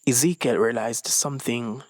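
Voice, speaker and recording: A man's voice: mid-range voice, fairly even intonation, moderate pace, dry recording. Young man narrating, dry sound. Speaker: story reader. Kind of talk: scripted narration of a short story.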